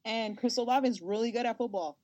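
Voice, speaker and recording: A young woman of Salvadoran family who grew up speaking Spanish first, telling stories in an animated, chatty way with many fillers, as the guest in an interview. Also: fairly high pitch, a little fast, no echo, muffled recording. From United States, Salvadoran family. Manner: animated storyteller, lots of fillers and laughs. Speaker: guest, sports journalist and host. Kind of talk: casual interview talk.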